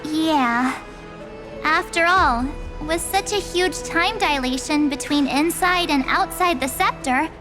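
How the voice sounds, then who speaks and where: High-pitched spirited girl companion. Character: spirited young girl companion, earnest and playful storyteller. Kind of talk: video game voice acting.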